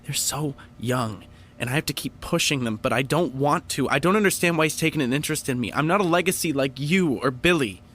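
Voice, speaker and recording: A man's voice: a little high, a little fast, hardly any pauses, a bit bright, a little hiss or hum, lightly acted. An actor playing an uneasy, guilt-ridden young man in an audio drama, speaking in a troubled, hesitant way. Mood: distraught, guilty.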